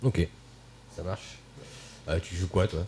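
A man hosting a casual radio interview show, relaxed and chatty. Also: low-pitched, takes it slowly, a crowd in the background. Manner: relaxed, chatty, drops English yeses. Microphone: studio mic.

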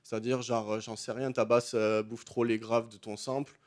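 A man's voice: mid-range voice, moderate pace. Laid-back man chatting with lots of fillers. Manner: relaxed slangy talk with many fillers. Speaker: guest beatmaker. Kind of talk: casual radio chat.

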